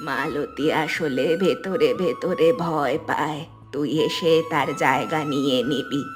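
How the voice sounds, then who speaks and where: A woman's voice: sits low, wildly swooping pitch, unhurried, over music, full character voice. A woman playing an older mother-in-law in a cartoon family drama, soothing and advising in a fair-minded way. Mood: soothing, concerned.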